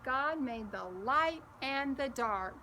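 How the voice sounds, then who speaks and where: A woman voicing a cheerful, patient older girl in a storybook, explaining things slowly and reassuringly. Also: sits high, animated, pushed and bright, a little hiss or hum, full character voice.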